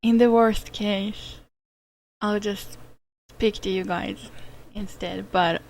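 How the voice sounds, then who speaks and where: A woman's voice: medium pitch, steady tone, slow, gravelly, darkish tone, rough recording. A woman with an Israeli accent hosting a casual livestream, chatting and answering viewers' comments as she thinks aloud. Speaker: livestream host.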